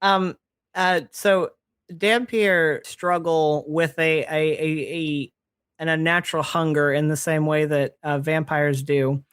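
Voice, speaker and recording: A podcast host in their late twenties explaining fantasy lore in casual chat, halting and chuckly but enthusiastic. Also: mid-range voice, little pitch movement, fairly bright tone, clear, resonant tone, no room sound, studio quality. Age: late 20s.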